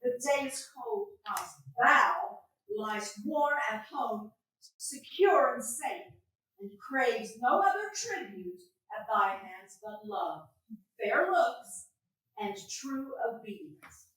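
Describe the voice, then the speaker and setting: A woman playing an earnest, admonishing wife, declaiming Shakespearean verse with measured emphasis in a staged reading. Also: sits high, big pitch swings, a bit bright, heavily acted. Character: Katherina, tamed wife giving an earnest admonishing speech. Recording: very echoey room, far from the mic.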